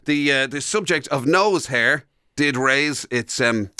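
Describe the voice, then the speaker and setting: A middle-aged Irish man hosting a radio show, chatting casually in a meandering, halting way. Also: medium pitch, sharp tone, clean tone, no room sound, studio quality. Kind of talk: casual bantering chat.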